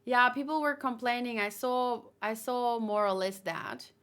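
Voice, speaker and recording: A woman's voice: fairly high pitch, a little slow, fairly bright tone, clear, resonant tone. A woman giving informal, opinionated commentary as she hosts a casual live read-along and talks with chat. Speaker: manga read-along host.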